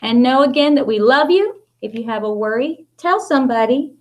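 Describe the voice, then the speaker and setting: This is a middle-aged American woman with a high-pitched voice. She talks as a school principal does when reading a picture book to young children. Her intonation is lively and wide-ranging, with simple, deliberately expressive phrasing.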